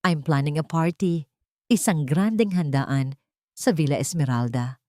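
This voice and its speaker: A woman's voice: deep voice, measured pace, warm tone, dry recording, clean studio sound. A woman voicing a cold, haughty older rich mother-in-law, commanding and condescending, in a narrated story. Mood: haughty, grand.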